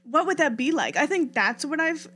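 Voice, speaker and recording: A woman's voice: high-pitched, lively intonation, normal speed, bright, forceful tone. A young adult woman co-hosting a podcast, speaking in an earnest, chatty way and agreeing readily. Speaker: podcast co-host. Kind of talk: casual conversational chat.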